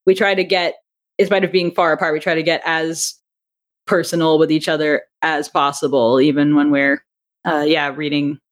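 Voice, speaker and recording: A woman speaking as a podcast guest in a conversational interview, telling things in a chatty, animated way. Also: low-pitched, normal speed. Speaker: podcast guest, audio drama writer and director.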